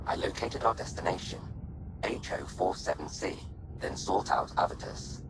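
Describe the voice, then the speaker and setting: A man voicing a damaged male ship computer that speaks calmly and formally, in a game. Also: deep voice, moderate pace, noisy background. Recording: game music behind.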